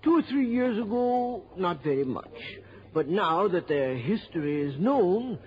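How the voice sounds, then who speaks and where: Animated, cultured male curator. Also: high voice, a little slow. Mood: earnest, indignant. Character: cultured, earnest male museum art curator. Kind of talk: mid-century radio acting. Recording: dull old radio recording.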